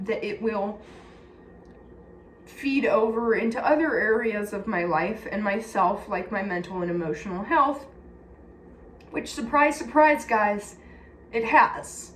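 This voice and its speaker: A woman with a Californian accent chatting candidly and casually to her viewers, confiding and blunt. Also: fairly high pitch. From California, United States. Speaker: personal vlogger. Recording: echoey room with faint background noise.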